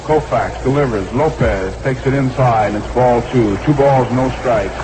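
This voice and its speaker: A man's voice: mid-range voice, normal speed. Man calling baseball on noisy vintage radio. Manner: short clipped phrases, repeats the count. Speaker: baseball play-by-play announcer. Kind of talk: 1960s live baseball radio commentary. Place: ballpark broadcast booth.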